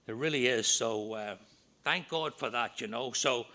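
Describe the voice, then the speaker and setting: A middle-aged man with a Northern Irish accent, giving an earnest talk at a recovery meeting with quick asides. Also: medium pitch, sharp tone. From Northern Ireland. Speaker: AA speaker. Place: meeting room.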